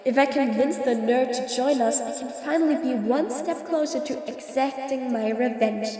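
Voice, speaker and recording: Woman acting high-pitched, theatrical scheming supervillain. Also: normal speed, warm tone, slightly rough, soft background music. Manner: theatrical, mocking, formal wordy phrasing. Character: sly supervillain, theatrical, impatient, scheming, grudgingly sympathetic. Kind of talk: scripted audio roleplay monologue.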